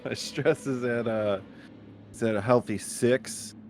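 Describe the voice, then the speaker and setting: A man's voice: mid-range voice, unhurried, darkish tone, slight background noise. A man playing a tabletop game, chatting casually and jokingly about his character.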